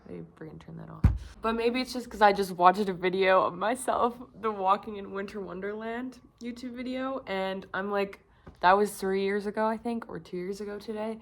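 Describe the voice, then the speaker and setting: A young woman with a croaky, slightly sick voice, talking candidly and rambling to camera in a casual vlog. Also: medium pitch, normal speed. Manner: rambling, filler-heavy, candid. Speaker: vlogger. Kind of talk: casual confessional talk to camera.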